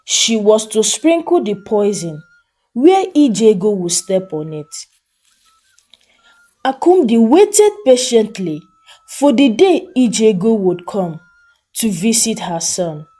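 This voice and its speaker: A woman narrating a folktale as a storyteller, with a measured, expressive and dramatic delivery. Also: mid-range voice.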